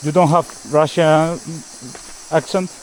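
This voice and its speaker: A man's voice: on the high side, little pitch movement, slow. Male travel vlogger, Basque accent and native Basque. Casual outdoor chat, recorded amid noticeable rural background noise. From Basque Country, Spain. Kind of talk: casual outdoor vlog, chatting. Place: outdoors, rural tropical trail and roadside. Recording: noisy outdoor vlog, insects and ambience.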